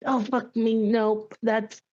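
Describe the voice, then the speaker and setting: Woman tabletop player, high voice, unhurried, muttering asides, slightly dull lo-fi mic. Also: flat delivery, darkish tone. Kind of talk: casual game chat.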